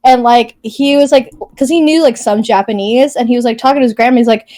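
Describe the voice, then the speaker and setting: A woman's voice: on the high side, quick pace, mellow. A young woman in casual chat, rambling with lots of "like" and casual swearing. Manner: rambling, heavy "like", swears freely. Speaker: podcast co-host.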